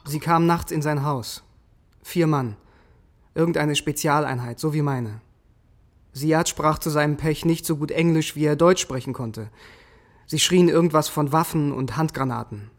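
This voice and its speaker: An actor playing a hardened, vengeful German special forces sergeant in a radio drama, grimly controlled and sardonic. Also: male voice, on the high side, normal speed, natural voice. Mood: grim, matter-of-fact. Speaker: soldier narrating his story.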